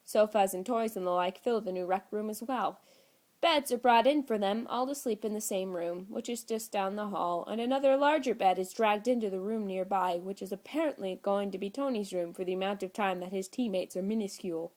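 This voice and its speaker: A woman reads a story aloud in audiobook style. Her pitch sits in the middle range. The delivery is scripted narration. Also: a little fast, a little mellow. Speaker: audiobook reader. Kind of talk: scripted fan fiction narration.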